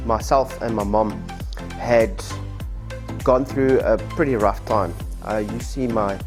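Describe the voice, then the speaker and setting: A South African man in his forties, a native Afrikaans speaker, telling his church testimony in a candid, conversational way with frequent fillers. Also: mid-range voice. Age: 40s. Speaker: church member giving a testimony. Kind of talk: personal testimony. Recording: soft music behind.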